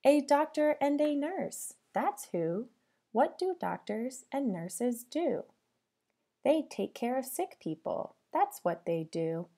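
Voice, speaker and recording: A woman teacher reading a storybook aloud to young children, with a sing-song delivery, pausing to put questions to them. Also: high-pitched, big pitch swings, mellow.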